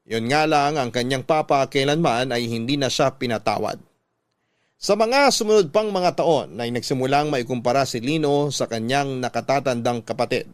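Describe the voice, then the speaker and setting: A man's voice: mid-range voice, no room sound. A man narrating a scripted love story in the style of classic radio storytelling.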